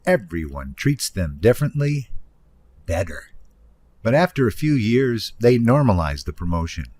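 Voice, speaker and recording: A man's voice: on the low side, sing-song, moderate pace, darkish tone, breathy edge. A man reading scripted nonfiction narration in an explanatory manner, speaking directly to the listener. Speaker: audiobook narrator, author reading own book.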